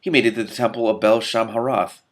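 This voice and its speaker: Guy reading a dryad girl from a fantasy book, cool and smooth, lowish voice. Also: steady tone, fast, natural voice. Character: young-looking female green tree nymph, cool and smooth.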